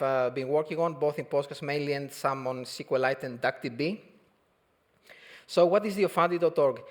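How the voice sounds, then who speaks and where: A man in his thirties, from Albania and raised in Greece, giving a relaxed technical talk at a conference, halting often with fillers and restarts. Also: on the high side. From Albania, grew up in Greece. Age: 30s. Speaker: conference speaker. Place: conference hall.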